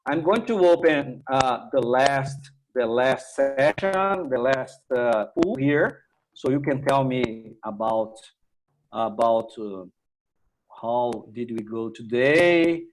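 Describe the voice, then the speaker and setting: A man from Brazil with a Brazilian accent and Portuguese as his native language, giving an informal online tech presentation in a halting, repetitive way with "right?" tags. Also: mid-range voice, animated, rough recording. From Minas Gerais, Brazil. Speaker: technical presenter and workshop instructor.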